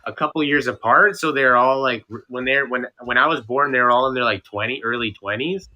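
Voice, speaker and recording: A man's voice: mid-range voice, brisk, sharp tone, muffled recording. A young man from Canada, a streamer guest chatting in an interview, rambling and restarting with lots of fillers. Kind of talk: casual interview chat.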